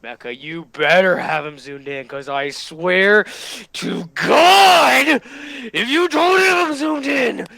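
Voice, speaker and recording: A young man hosting a reaction video, excitable and gushing, repeating himself in casual commentary. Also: high-pitched, slow, vocal fry.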